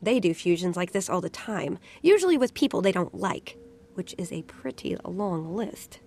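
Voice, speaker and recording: A woman's voice: lowish voice, expressive, moderate pace, gravelly, a little mellow. A woman voicing a brash, cocky little gnomish genius inventor in a video game, flippant and condescending. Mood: breezy, dismissive. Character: brash, cocky female asura genius golem expert. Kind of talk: video game cutscene voice acting.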